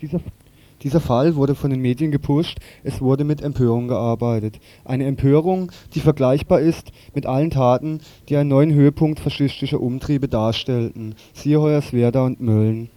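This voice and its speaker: A man's voice: medium pitch, moderate pace, dark tone, dry recording. A man reading a scripted political commentary on the radio in a formal way, with occasional slips.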